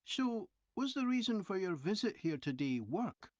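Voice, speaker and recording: An actor playing a polite train company representative asking survey questions in a scripted listening-test dialogue, clearly enunciated. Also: mid-range voice, very animated, moderate pace, no echo, lo-fi sound, close to an everyday voice. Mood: polite, inquiring.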